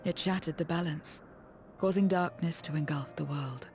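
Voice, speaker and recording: A woman's voice: low voice, moderate pace, darkish tone. A woman voicing an aloof, world-weary female void creature in a video game cutscene, speaking in solemn, terse phrases. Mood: mournful. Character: aloof, world-weary female voidsent returned to true form. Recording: music behind.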